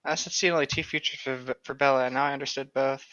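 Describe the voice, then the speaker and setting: A man's voice: medium pitch, steady tone, sharp tone, a little hiss or hum. A man co-hosting a comic book reading, chatting playfully and laughing easily, with a slightly dull recorded sound. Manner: playful, silly, quick to laugh. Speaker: co-host of a comedic book-reading show. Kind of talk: casual banter and comic read-aloud.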